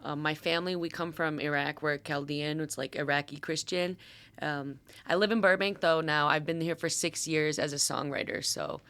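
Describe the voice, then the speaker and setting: An American woman in her twenties chatting casually as a podcast guest, informal and rambling, with animated storytelling. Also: sits low, normal speed, smooth, dry recording. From Detroit, Michigan, USA. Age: 20s. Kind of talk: casual chat.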